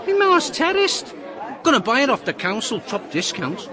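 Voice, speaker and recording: An actor playing a chatty, excitable small-time chancer in a radio drama, with a Liverpool accent and a rambling, matey manner. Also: male voice, extremely high pitch, normal speed. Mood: chatty, scheming. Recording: busy outdoor crowd and traffic behind. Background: street crowd, vehicles.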